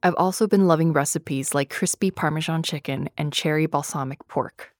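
A woman's voice: sits low, moderate pace, no echo, studio quality. A woman reading a scripted sponsor message in a conversational style, as in a podcast ad break. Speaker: podcast ad reader.

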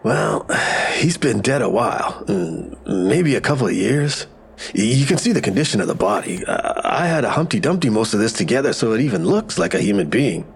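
An actor playing a wry, matter-of-fact medical examiner who explains with morbid humor in an audio drama. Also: male voice, fairly low pitch, very animated, moderate pace, gravelly, breathy edge, heavily acted.

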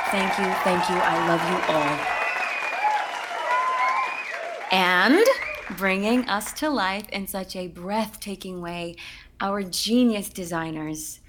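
A woman's voice: medium pitch, measured pace, over a noisy crowd, heavy background noise, lightly acted. An actress playing an effusive, pretentious musical director addressing her cast in a scripted comedy. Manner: effusive, theatrical, preachy. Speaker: theater director.

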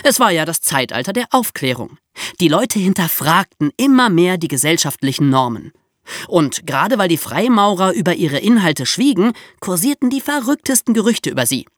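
A podcast co-host explaining history conversationally, with expressive, playfully ironic emphasis. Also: medium pitch, moderate pace, no echo, studio quality.

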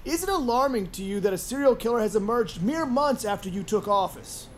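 An actor playing an eager, insistent news reporter in an audio drama, urgently calling out questions. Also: male voice, high voice, animated, fairly quick, a little hiss or hum.

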